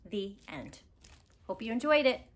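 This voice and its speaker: A woman in her thirties reading a children's story aloud, expressive and deliberate, in a living room. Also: fairly high pitch, a little hiss or hum. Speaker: storybook reader. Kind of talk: children's read-aloud narration. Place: small living room, indoors.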